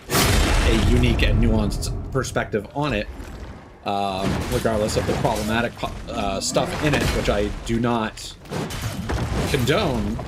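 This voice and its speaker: A young man streaming a video game, talking casually and frankly, rambling and swearing freely. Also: mid-range voice. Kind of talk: casual gameplay commentary.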